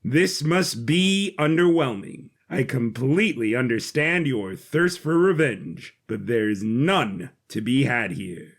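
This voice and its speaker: A man voicing a calm, polite heroic robot with composed and formal delivery in an audio drama. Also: medium pitch, slow, hardly any pauses. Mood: composed, regretful. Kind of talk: scripted audio drama acting.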